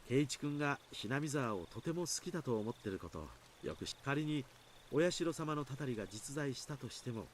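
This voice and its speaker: Actor as a fast-talking, easygoing explainer man. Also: mid-range voice, expressive, slight background noise, close to an everyday voice. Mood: reassuring. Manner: explanatory, reassuring, long-winded. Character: easygoing adult man calmly explaining away village curse rumours. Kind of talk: Japanese visual novel voice acting.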